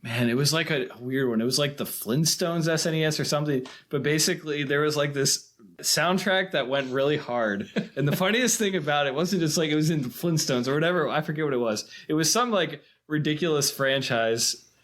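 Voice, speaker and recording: A man's voice: on the high side, brisk, a bit bright. Casual, rambling male podcast host. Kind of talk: casual chat about films.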